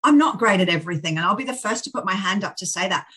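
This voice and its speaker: Australian woman guest, forthright and lively, bright tone; plain-spoken, a touch salty. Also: medium pitch, very fast, slightly rough. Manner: blunt, energetic, plain-spoken. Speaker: charity founder, guest. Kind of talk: candid interview chat.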